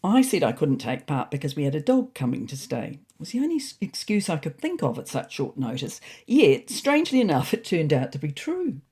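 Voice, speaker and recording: A woman's voice: sits low, sing-song, brisk, few pauses, mellow, breathy edge, heavily acted. A woman voicing a fussy, neurotic male ginger cat who narrates a comic storybook diary in a prim, indignant, self-important way.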